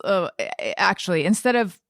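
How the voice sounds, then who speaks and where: A woman's voice: medium pitch, animated, normal speed, dry recording, clean studio sound. A woman hosting a casual, personal interview, informal and thinking aloud as she goes, often interrupting herself. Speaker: podcast host, interviewer.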